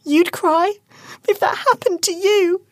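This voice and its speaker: A woman voicing a frightened, defiant young peasant girl who wails through her tears in a high voice. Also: fairly even intonation, measured pace, dry recording. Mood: tearful. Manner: shrill, tearful, wailing.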